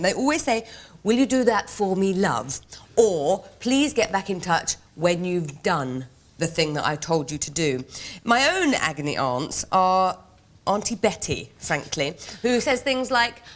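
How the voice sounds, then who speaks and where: A woman's voice: on the low side, big pitch swings, unhurried. A woman improvising comically as a panel game contestant, playful and mock-serious. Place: TV studio with audience. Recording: studio audience noise.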